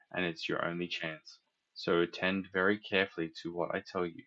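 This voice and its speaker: Man playing a grave, deep-voiced engine driver. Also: muffled recording. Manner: earnest, steady, kindly. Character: grave, soft-hearted, plain-spoken male engine driver.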